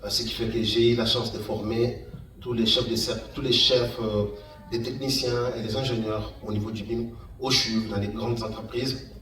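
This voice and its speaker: A middle-aged man speaks in a fairly low voice, giving an informal talk. He is halting and repeats phrases to stress them. The sound is echoey and picked up from a distance, with slight noise. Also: level tone, a bit bright. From Democratic Republic of the Congo, Kananga. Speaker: guest speaker, engineer and entrepreneur. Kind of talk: informal public talk recounting a career path. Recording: echoey room, distant mic, faint noise.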